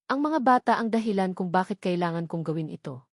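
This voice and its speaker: A woman's voice: on the low side, animated, fast talker, dry recording, clean studio sound. A woman voicing a bitter, unyielding wife in an audio drama, accusing and emphatic. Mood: anguished, resolute.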